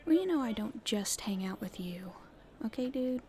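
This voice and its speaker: A woman playing a cheeky young street thief in an ASMR roleplay, chatting casually and haltingly to the listener. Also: on the high side, expressive, mellow, natural voice. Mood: hesitant, evasive. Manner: casual, halting speech with hesitations.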